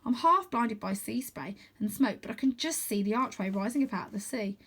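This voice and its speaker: A woman reading a children's adventure book aloud as storyteller, with expressive, dramatic emphasis. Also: on the high side, fairly quick, husky.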